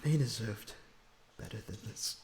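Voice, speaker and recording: A man playing a lonesome, remorseful, gentle vampire prisoner in an audio roleplay, speaking in an earnest, halting, emotional way. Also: fairly low pitch, close to an everyday voice. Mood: sorrowful, remorseful. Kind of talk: dramatic audio roleplay acting. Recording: echoey reverberant dungeon-like sound, background noise.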